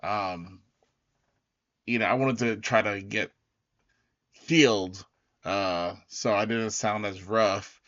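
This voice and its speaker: A man hosting his own podcast in a loose, rambling way, his voice raspy as it recovers. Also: on the low side, steady tone, slow, pauses often, fairly bright tone, dry recording, dull audio. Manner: loose, rambling, full of fillers and restarts. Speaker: podcast host. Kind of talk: casual solo recap talk.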